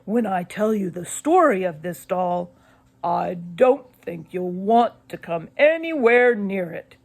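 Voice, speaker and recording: Casting: theatrical father played by a woman, relishes creepy tales, animated pitch, drawn-out delivery, children's audiobook. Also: gravelly, heavily acted. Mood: ominous, relishing. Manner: dramatic, drawn-out storytelling. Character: showman-like dad relishing creepy tales of curiosities.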